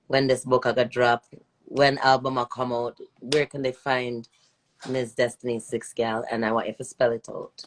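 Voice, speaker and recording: Expressive woman host with tinged speech. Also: extremely low pitch, poor audio. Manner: expressive, slips into patois phrasing. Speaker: interview host. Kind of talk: casual live interview hosting. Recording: livestream video call.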